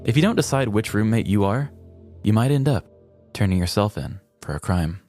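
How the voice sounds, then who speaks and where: A man host narrating a scripted comedic crime story with a wry, deadpan delivery. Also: lowish voice, normal speed, soft background music.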